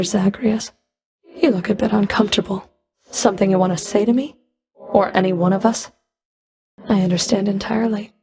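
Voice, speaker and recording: A woman's voice: medium pitch, vocal fry, a little mellow, natural voice. A woman playing a stern, scornful underworld fury in a video game, with a cold, sardonic, taunting delivery. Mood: mocking. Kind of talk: scripted video game character dialogue.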